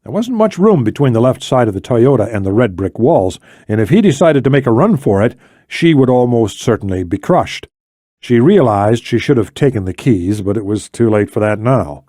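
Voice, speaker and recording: A man's voice: mid-range voice, sing-song, normal speed, dark tone, no room sound, clean studio sound. A man reading scripted thriller narration as an audiobook narrator.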